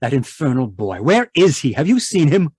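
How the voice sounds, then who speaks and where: A man's voice: a little high, big pitch swings, moderate pace, a little mellow, husky, no echo, clean studio sound. An actor playing a stern, irritable husband obsessed with his work, imperious and impatient, in old-time radio drama style. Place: live video call performance. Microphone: video call microphone.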